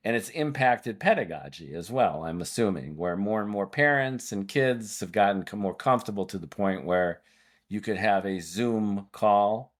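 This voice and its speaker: Low-voiced, long-winded male podcast host. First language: Hungarian. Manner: discursive, wordy, prone to digressions. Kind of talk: conversational podcast interview.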